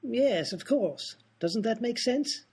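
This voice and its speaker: A prim, haughty aristocratic lord, as a man plays him in a radio comedy. He speaks in a formal, stiff way with a husky edge. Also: very high voice, expressive, takes it slowly, dark tone, heavily acted. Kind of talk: comic radio play acting.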